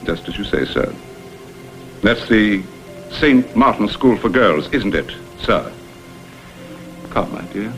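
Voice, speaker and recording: Low-pitched con man, oily formal politeness, old crime drama, clear music underneath. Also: animated, slow pace, long pauses, rough recording. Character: smooth unctuous con man shaking down a victim. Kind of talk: vintage crime drama screen acting. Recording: music under dialogue, vintage soundtrack.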